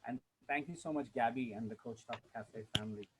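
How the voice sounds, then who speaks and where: A man's voice: mid-range voice, brisk, fairly bright tone. A man from Hong Kong giving an earnest, enthusiastic personal testimonial to an online group. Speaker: public speaking trainer and coach. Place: online group video call. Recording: noisy online call, uneven level.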